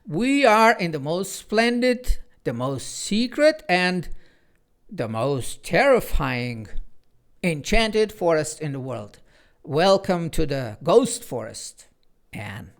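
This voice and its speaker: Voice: mid-range voice, very animated, slow, gravelly, full character voice. A reader doing a pompous theatrical clock spirit with a lisp. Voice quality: affected, theatrical, lisping.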